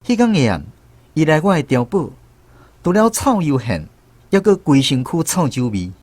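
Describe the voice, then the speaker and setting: An actor playing a young soldier with a Taiwanese accent, narrating memories of army days in a radio drama. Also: male voice, a little high, very animated, normal speed, a little mellow. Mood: recounting.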